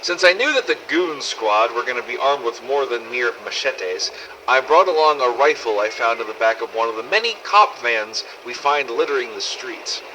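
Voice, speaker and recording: Man playing a sardonic survivor with a bright, lively voice. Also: medium pitch, normal speed, a little hiss or hum, low-quality recording. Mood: matter-of-fact. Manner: wry, exasperated, sweary. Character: sardonic, weary male survivor runner in a zombie apocalypse. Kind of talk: in-character audio diary narration, fan-made game audio logs.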